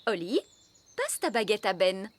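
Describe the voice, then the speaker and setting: A woman voicing a strict fairy nanny in a children's cartoon, brisk and commanding as she teaches. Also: mid-range voice, very animated, a little hiss or hum. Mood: instructive. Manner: didactic, commanding. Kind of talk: children's cartoon dub acting.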